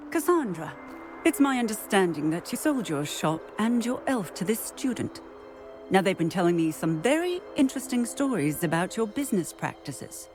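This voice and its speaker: Woman as an animated, authoritative witch police officer. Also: mid-range voice, faint music behind, lightly acted. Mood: composed. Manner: measured, formal. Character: composed authoritative female wizarding police officer. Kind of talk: video game character acting.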